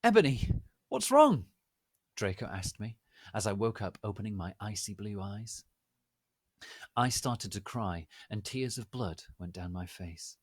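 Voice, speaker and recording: Man, lowish voice, slow deliberate pace, theatrical narration, wide intonation, dry sound. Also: steady tone, no room sound. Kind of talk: dramatic comic story reading.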